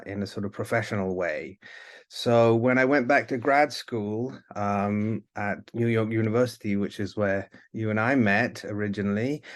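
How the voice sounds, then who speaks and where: Halting man from the UK and Italy. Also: fairly low pitch. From born in the UK, grew up in Italy. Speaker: guest, writer and editor. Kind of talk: casual interview talk.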